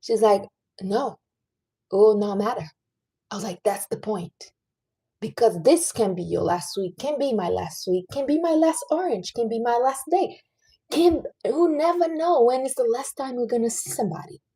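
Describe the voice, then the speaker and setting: Woman, late 30s, livestream host, earnest advice-giver, relaxed tone with breathy texture. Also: fairly high pitch, moderate pace. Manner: chatty and earnest, giving life advice to viewers. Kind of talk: casual livestream chat.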